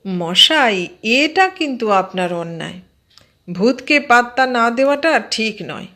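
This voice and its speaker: A woman voicing a nosy, excitable man in an audio story, eagerly questioning and exclaiming. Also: a little high, a little slow, full character voice. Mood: astonished, protesting.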